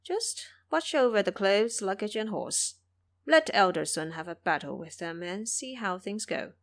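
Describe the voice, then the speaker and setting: A woman's voice: medium pitch, lively intonation, measured pace. A woman voicing a cocky, fearless male monkey disciple who boasts and taunts in an audio drama. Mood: cocky, reassuring.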